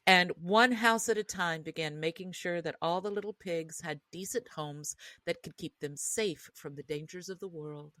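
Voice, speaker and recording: A woman's voice: lowish voice, normal speed, few pauses, a bit bright, no room sound. A woman co-hosting a podcast in casual, playful discussion. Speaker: podcast co-host.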